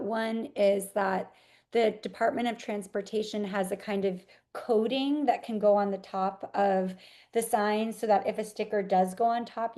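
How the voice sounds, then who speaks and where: A woman's voice: medium pitch, dark tone. Thoughtful, articulate woman artist in interview. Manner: thoughtful, articulate, explaining in measured detail. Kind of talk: reflective interview answer.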